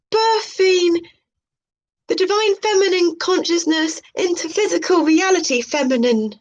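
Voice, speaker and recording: Slow-paced woman on a dull, lo-fi recording. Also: high-pitched. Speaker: video host. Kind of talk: reading aloud about tarot with chatty spiritual asides. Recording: muffled, dull lo-fi sound.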